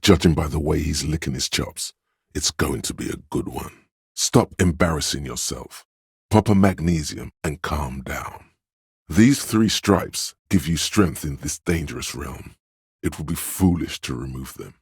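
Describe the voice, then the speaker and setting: An actor playing a stern, commanding inner voice of authority in a video game, speaking in a grave, imperious, theatrical way. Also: male voice, extremely low pitch, measured pace, slightly rough, dry recording, studio quality, exaggerated voice. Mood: ominous. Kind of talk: dramatic scripted game narration.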